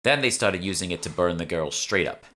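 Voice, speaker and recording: A man acts out a brusque female alchemist from an urban fantasy audiobook. She is irritable and sardonic, explaining things curtly with a sharp, forceful edge. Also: low voice, lively intonation, brisk. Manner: curt, sardonic, sweary. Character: brusque, irritable young woman, know-it-all alchemist partner.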